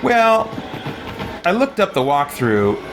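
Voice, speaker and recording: A man's voice: high voice, huge pitch swings, takes it slowly, low-quality recording. A man giving casual gameplay commentary on a livestream, chatty and rambling as he thinks aloud. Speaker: livestreamer playing a video game. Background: game music.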